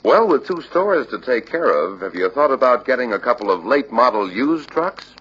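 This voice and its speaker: An actor playing a courteous truck salesman in a scripted training film, making a polite, reasoned pitch. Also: very animated, moderate pace, a bit bright, slightly rough. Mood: persuasive. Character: persuasive, courteous truck salesman, adult man. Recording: dull lo-fi old training film soundtrack.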